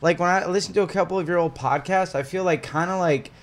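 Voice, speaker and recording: A man trading easygoing jokes as a podcast co-host, teasing his partner in a relaxed way. His voice sits somewhat high for a man. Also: fast. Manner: joking, teasing, laid-back banter. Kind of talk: casual podcast chat.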